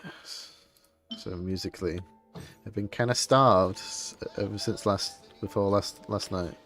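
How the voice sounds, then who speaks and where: Man, lowish voice, casual livestream chat, low-key, rambling, self-interrupting, faint music behind. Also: unhurried. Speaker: livestreamer playing a game. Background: soft game music.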